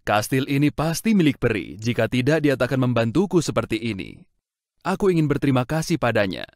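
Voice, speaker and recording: A man voicing a kind, worn-out middle-aged merchant father in a dubbed cartoon, speaking gently and earnestly. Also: medium pitch, very animated, fairly quick, no echo, studio quality. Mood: grateful. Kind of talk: cartoon dubbing. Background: background music.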